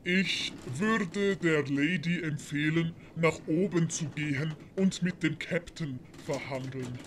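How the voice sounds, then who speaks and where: A man playing a blunt, gruff pirate first officer in an audio drama, measured and matter-of-fact. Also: fairly high pitch, fairly bright tone, slight background noise. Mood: grim, matter-of-fact.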